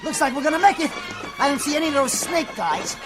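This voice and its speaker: An actor playing a blunt, cocky, slangy brawler sidekick in an old-time radio adventure, with an excitable manner. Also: male voice, extremely high pitch, fast talker, in a large hall, over music. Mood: excited. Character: brawny, scrappy, blunt comic sidekick.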